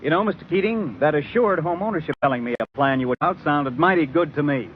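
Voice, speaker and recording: A man's voice: fairly high pitch, fast talker, lightly acted. A man playing an earnest, ordinary customer in a scripted 1950s radio commercial. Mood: earnest, agreeable. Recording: dull old radio recording, faint noise.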